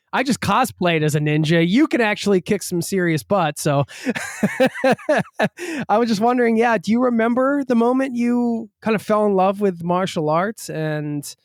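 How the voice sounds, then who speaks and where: Male podcast host, slightly higher voice, dry close mic, curious and chatty. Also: no room sound. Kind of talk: casual interview chat.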